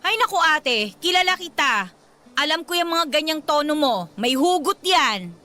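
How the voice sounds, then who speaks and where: An actress playing a concerned, outspoken younger sister in a Filipino radio drama, probing and expressive, with a Filipino accent. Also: female voice, high voice, moderate pace, faint music behind. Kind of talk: Tagalog radio drama acting.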